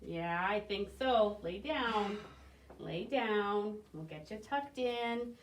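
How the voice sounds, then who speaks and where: A woman's voice: medium pitch, animated, slow. A woman coaxing in short simple phrases as a caretaker in a children's puppet show, in a roomy space with echo. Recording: roomy echo, faint noise.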